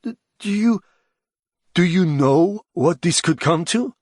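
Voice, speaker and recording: Man playing anxious stammering comrade, husky, higher-pitched. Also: big pitch swings, a little slow, fairly bright tone, no echo, clean studio sound, heavily acted. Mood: appalled. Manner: slightly stammering, pleading. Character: anxious, soft-hearted man protesting to his comrades.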